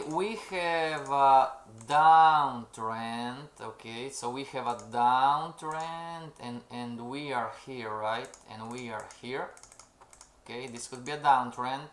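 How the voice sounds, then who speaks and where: A man's voice: mid-range voice, a little hiss or hum. A man running a live-streamed trading lesson who keeps calling out "everybody", asks "okay?" and reads chat messages aloud. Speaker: trading instructor. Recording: roomy echo.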